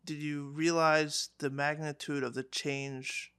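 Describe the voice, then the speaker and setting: A podcast host whose voice sits at a medium pitch. The host asks questions while repeating words and restarting, between short replies of interest. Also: level tone, takes it slowly, a bit bright, clean tone.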